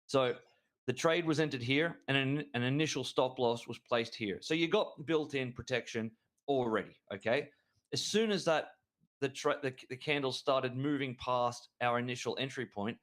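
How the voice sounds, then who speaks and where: A man co-hosting a trading show, talking excitedly and rambling through chart analysis with frequent restarts. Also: mid-range voice. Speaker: crypto trading show co-host. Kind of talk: enthusiastic market analysis chat.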